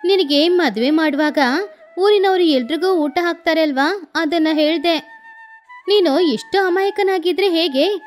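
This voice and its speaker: A woman plays a nosy village neighbour in a storybook tale. Her voice is very high, and she teases and chides as she talks, over faint music. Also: measured pace. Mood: teasing. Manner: chiding, teasing.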